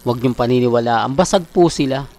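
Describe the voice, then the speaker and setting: A man's voice: mid-range voice, brisk, a little mellow. A man with a Filipino accent hosting a fan channel, chatting casually through celebrity updates and often checking that listeners agree. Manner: conversational, repeatedly seeking agreement with tag questions.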